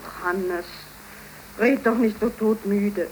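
In a 1930s German radio play, an actress plays a loving, distressed young sweetheart, earnest and theatrical. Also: female voice, medium pitch, takes it slowly, darkish tone, close to an everyday voice. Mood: tender, pleading. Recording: faintly noisy 1930s radio recording.

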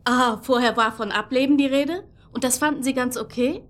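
An actress playing an assertive, dryly sarcastic police detective in a naturalistic radio drama. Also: female voice, high-pitched, moderate pace, fairly bright tone, slight background noise.

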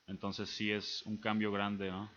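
Young mexican guy chatting as a radio guest, lowish voice, lots of ums, dull lo-fi sound. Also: measured pace, a little hiss or hum. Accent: Mexican Spanish. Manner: relaxed, hesitant, many fillers. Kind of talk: casual radio interview.

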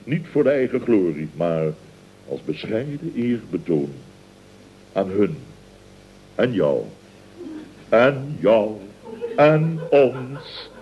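An actor playing a pompous, excitable, sentimental middle-aged contractor boss in radio comedy, blustering, stammering and repeating himself. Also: male voice, mid-range voice, a little hiss or hum. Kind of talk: 1970s Dutch radio comedy acting. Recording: dull vintage radio recording.

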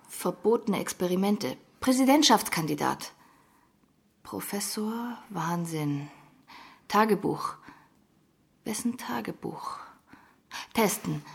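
A woman playing a pensive investigative journalist in a radio drama, thinking aloud in hesitant single words with a husky, breathy voice that often drops near a whisper. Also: lowish voice, heavily acted. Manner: hesitant single-word associations.

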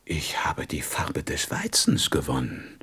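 An actor playing a gentle, wise and wistful fox in a radio play, speaking tenderly and deliberately and often dropping into whispers. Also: male voice, extremely low pitch, exaggerated voice. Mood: tender, sad. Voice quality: husky, breathy voice that drops into whispers. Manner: deliberate, expressive, tender storybook delivery.

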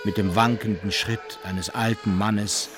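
An actor as the wry, literary narrator of a German radio drama, telling of a hapless hero. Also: male voice, fairly low pitch, steady tone, unhurried, over music, natural voice.

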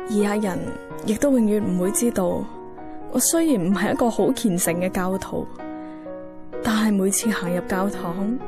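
A woman's voice: medium pitch, close to an everyday voice. An actress playing a wistful young woman with a Hong Kong accent, reflectively narrating a lost love in a radio drama.

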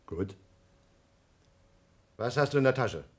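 A man's voice: mid-range voice, expressive, slow. An actor playing a distrustful, paranoid male astronaut, formal and insistent, in a German radio play. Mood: suspicious, tense.

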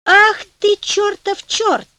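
A woman's voice: extremely high pitch, slow, pushed and bright, dry recording, pitched above the performer's own voice, exaggerated voice. A woman voicing a bold, cheerful young male hero who gives orders and teases. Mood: indignant. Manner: commanding and mocking.

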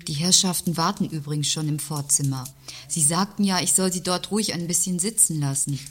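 A deferential executive secretary with a low voice, played by a woman in a satirical radio play. She speaks courteously and soothingly, with flattery and a quiet, knowing pride. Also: brisk, close to an everyday voice. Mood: polite. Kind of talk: German radio play acting.

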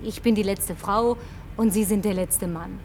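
An actress playing a brash, lonely survivor in a German science-fiction radio play, speaking in a brisk, sardonic, bossy way. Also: female voice, mid-range voice, steady tone, a little mellow, far from the mic, a little hiss or hum, close to an everyday voice. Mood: dry, sardonic. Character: brash, lonely woman, last woman in deserted New York.

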